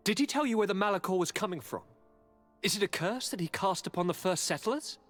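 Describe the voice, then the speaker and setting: Theatrical young nobleman with sweeping pitch. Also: a little fast. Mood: fascinated, eager. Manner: courtly, theatrical, expressive. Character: charming, theatrical young nobleman governor, eager and affectionate. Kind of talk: dramatic video game voice acting. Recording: reverberant hall, music underneath.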